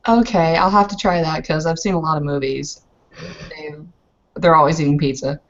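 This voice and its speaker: A woman's voice: sits low, steady tone, normal speed, dull audio. A woman casually roleplaying as a player in a tabletop game session.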